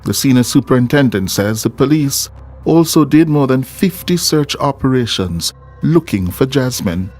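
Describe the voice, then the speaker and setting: A man's voice: mid-range voice, lively intonation, moderate pace, darkish tone. A man with a Jamaican accent reading scripted narration as a news documentary reporter. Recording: music behind the voice.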